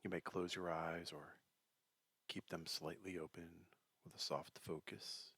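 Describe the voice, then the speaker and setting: A man leading a guided meditation in a soft, breathy, gentle voice, unhurried and leaving long pauses between short phrases. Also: low voice, little pitch movement, no room sound. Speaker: meditation teacher.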